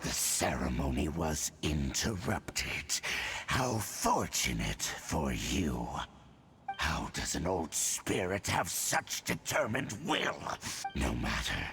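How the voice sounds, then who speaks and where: An actor playing a menacing, haughty herald of a dark order in a video game, speaking with pompous, theatrical deliberation. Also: male voice, extremely low pitch, very animated, slow pace, gravelly, full character voice. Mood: coolly dismissive. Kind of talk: video game villain acting.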